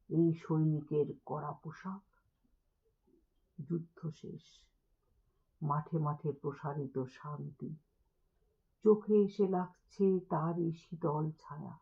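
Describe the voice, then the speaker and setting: A woman gives a dramatic poetry recitation through thin, phone-like audio. Her delivery is very slow and deliberate, with expressive pauses between phrases. A gravelly creak runs through her voice. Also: fairly low pitch. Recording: thin, band-limited phone-like sound.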